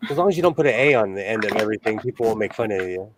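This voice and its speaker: A man's voice: on the low side, lively intonation, very fast, noisy background. A man hosting a livestream in casual, jokey chat, often breaking off and restarting his stories. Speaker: host of a sewing livestream.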